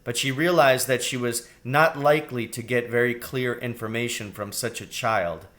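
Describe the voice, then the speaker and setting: A man narrating a children's storybook in a deliberate, expressive read-aloud style. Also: mid-range voice. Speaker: audiobook reader.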